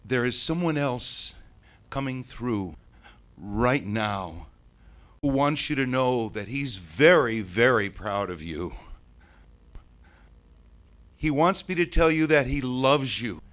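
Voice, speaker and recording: Man playing a loud, theatrical, flippant male ghost. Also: medium pitch, animated, slow pace, long pauses, voice pushed up. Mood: mock sincere. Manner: theatrical, mock-sincere, swinging into loud exclamations. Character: flippant male ghost of a suicide, smarmy, taunting.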